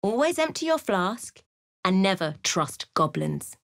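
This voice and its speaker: A woman voicing a wry, alert adventurer in a fantasy video game, with an expressive delivery. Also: medium pitch, measured pace, creaky, dry recording, clean studio sound. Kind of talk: scripted fantasy game dialogue.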